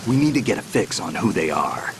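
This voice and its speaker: An actor playing a wary veteran male soldier on a stealth mission, speaking in clipped, low, gravelly tones. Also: sing-song, brisk, background noise. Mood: tactical. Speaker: stealth operative. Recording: game soundtrack music behind. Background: loud music and rain.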